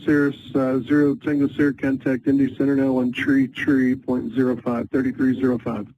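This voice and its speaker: Male air traffic controller, calm and procedural, medium pitch, on a dull, slightly noisy radio channel. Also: normal speed. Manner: calm, procedural, repeats instructions clearly. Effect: aviation radio. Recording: narrow, noisy aviation radio transmission.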